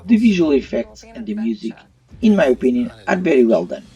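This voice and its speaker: A man's voice: mid-range voice, sing-song, fairly quick, mellow, breathy edge. A man giving an opinion review in voice-over, with non-native English phrasing. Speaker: YouTube movie reviewer. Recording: muffled, slightly distant mic. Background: faint music.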